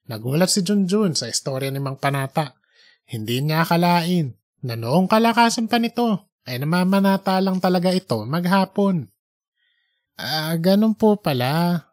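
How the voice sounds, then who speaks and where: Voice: medium pitch, expressive, moderate pace, no room sound, studio quality. A narrator reading a scripted comic story.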